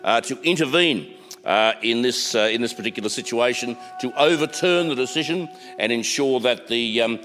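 A man's voice: mid-range voice, normal speed, fairly bright tone, soft background music. A man making a formal statement, hesitating with fillers as he speaks. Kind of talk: archive statement clips.

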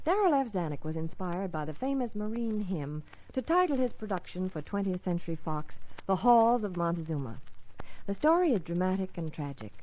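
A woman's voice: fairly low pitch, very animated, fairly quick, darkish tone, muffled recording. An American woman reading a scripted 1950s radio promotional segment as a Hollywood reporter, polished in delivery.

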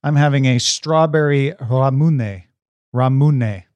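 A man's voice: mid-range voice, takes it slowly, a little mellow, no room sound. A man co-hosting a podcast in casual, playful, bantering chat. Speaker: podcast co-host.

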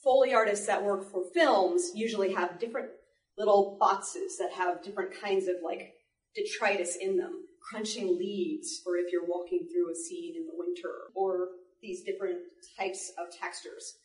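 A woman giving a live demonstration talk to an audience, explaining in an animated way with playful asides. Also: medium pitch, normal speed. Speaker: panel presenter, sound effects artist. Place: indoor library panel before an audience. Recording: echoey room, distant microphone.

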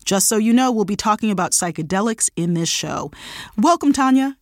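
A woman's voice: medium pitch, animated, fairly quick, darkish tone, dry recording, studio quality. A woman hosting an interview podcast, talking in an affirming, conversational way. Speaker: podcast host.

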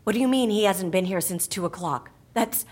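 A woman's voice: medium pitch, brisk, a little mellow, close to an everyday voice. A woman playing a cool-headed agency boss in an audio drama, terse and authoritative. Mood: grave, commanding.

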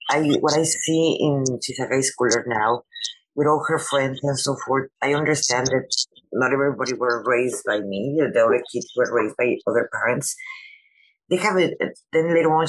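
A woman with a non-native English accent hosting a conversational interview, halting and rephrasing as she goes. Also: a bass voice, slightly rough, rough recording. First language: not English. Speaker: host, interviewer.

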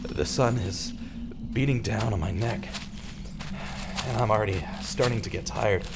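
An actor playing a distraught, self-blaming man who narrates his own story in a halting, repetitive, dramatic way, as in an audio drama. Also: fairly low pitch, heavy background noise. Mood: frustrated, weary. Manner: dramatic, halting, repeats himself. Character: distraught, self-blaming male radio man turned amateur pursuer. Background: music.

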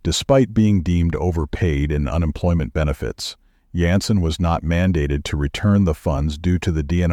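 A man reading news stories as a podcast producer, with a measured, even newsreader delivery. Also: rumbling low, no room sound, clean studio sound.

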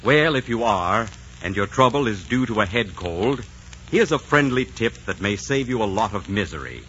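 A man's voice: on the low side, expressive, moderate pace, a bit bright. A man announcing in the polished, friendly scripted style of a 1940s radio commercial. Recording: dull, noisy 1940s radio recording.

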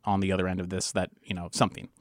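A man talking conversationally as a game master, setting up scenarios with animated storytelling. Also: sits low, very fast, no room sound, clean studio sound. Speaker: game master, show co-creator. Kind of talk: conversational explanation of a role-playing game.

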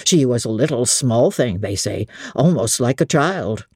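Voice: medium pitch, animated, measured pace, darkish tone, breathy edge, no room sound, studio quality. A reader voicing a gossipy old innkeeper man. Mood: confiding. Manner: hesitant, confiding, rustic speech. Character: older male country innkeeper, gossipy. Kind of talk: audiobook character reading.

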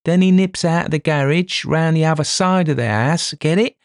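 A man's voice: medium pitch, sing-song, normal speed, no echo, clean studio sound, voice pushed up. A man voicing a lazy, foul-tempered police detective who grumbles and blusters coarsely. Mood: blustering.

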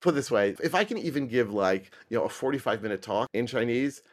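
A man's voice: mid-range voice, lively intonation, quick pace. An American man talking casually and emphatically as an interview guest, repeating words for stress. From United States. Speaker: podcast guest, programming trainer.

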